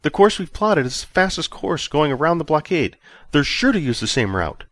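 Man acting a dutiful, higher-voiced ship's officer. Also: big pitch swings, normal speed, fairly bright tone, no room sound. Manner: deferential, explanatory. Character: dutiful male ship's officer reporting to his commander. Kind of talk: audio story character acting.

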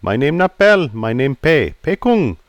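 A man's voice: mid-range voice, huge pitch swings, measured pace, dry recording. A man voicing a prickly older male barber who speaks in clipped, broken English. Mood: gruff.

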